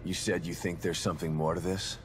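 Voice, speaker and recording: A weary but determined father from a video game cutscene, played by a male actor. His voice sits very low, and he talks tersely, with blunt, wry turns. Music is clearly audible underneath. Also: little pitch movement, a little fast, natural voice. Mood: wry, skeptical. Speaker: father searching for his daughter in a horror game. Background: background music.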